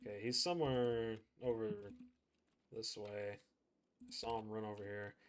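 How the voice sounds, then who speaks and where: A man's voice: fairly low pitch, steady tone, moderate pace, smooth. A man streaming a game, chatting casually and thinking aloud as he plays. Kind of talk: live gameplay commentary.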